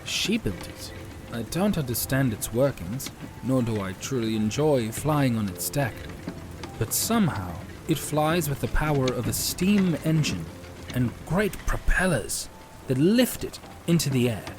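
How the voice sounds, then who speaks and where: A man's voice: medium pitch, very animated, a little slow. Captive hero, played by a man: weary but kind adventurer, medium register, grave formal speech, fantasy audiobook with music underneath. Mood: matter-of-fact. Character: weary, kindly mustached male adventurer held captive. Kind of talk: dramatized fantasy audiobook acting. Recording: music and rain sounds behind.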